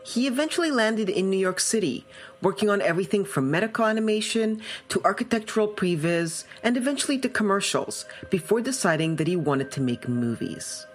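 Woman podcast host with lively intonation. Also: mid-range voice, a little fast, soft background music. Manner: curious, questioning. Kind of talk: podcast hosting, scripted intro and interview questions.

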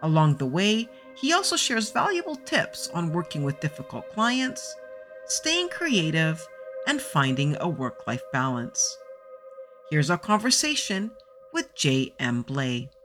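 A woman hosting an interview podcast, with a slightly low voice that moves in a lively, animated way. She reads her introduction smoothly, then asks questions conversationally, sometimes restarting her sentences. Faint music plays underneath. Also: measured pace. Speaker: podcast host.

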